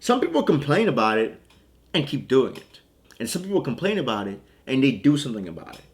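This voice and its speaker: Young man, fitness coach, from Haiti, blunt, casual slang, animated delivery. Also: medium pitch, brisk. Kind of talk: motivational talk to camera.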